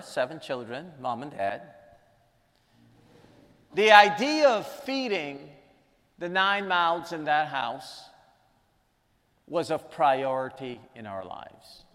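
Slow, echoing preacher man. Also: medium pitch, a bit bright. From Guyana. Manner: emphatic, repeats key lines for weight. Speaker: preacher teaching a lesson. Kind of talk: sermon with prayer. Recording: long reverb in a large hall.

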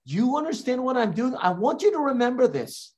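A man from Guyana, an evangelist, preaching a sermon in a slow, deliberate way with emphatic rises. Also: very high voice, sing-song, a little mellow.

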